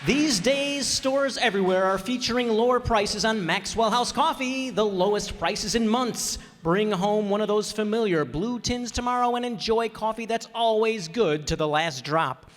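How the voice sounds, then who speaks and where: A man's voice: high-pitched, a bit bright, in a large hall. A man as a formal old-time radio announcer. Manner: formal, measured sign-off. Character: smooth male network radio announcer. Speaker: show announcer. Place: stage before a live audience.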